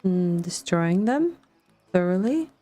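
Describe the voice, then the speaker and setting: A woman's voice: lowish voice, sing-song, takes it slowly, warm tone. A woman doing casual, playful video game commentary, wryly talking to herself as she plays.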